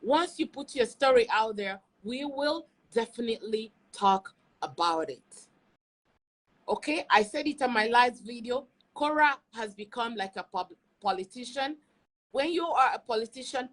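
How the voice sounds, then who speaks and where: A woman's voice: fairly high pitch, expressive, normal speed. A woman hosting an opinionated livestream commentary, speaking emphatically and repeating phrases to drive her points home. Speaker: online video host and commentator. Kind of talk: opinionated social media commentary, livestream talk.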